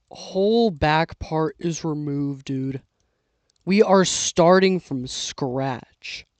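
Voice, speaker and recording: A young man giving casual, self-talking commentary while building with LEGO, losing his voice and clearing his throat now and then. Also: on the high side, no room sound. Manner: relaxed, mutters to himself while building.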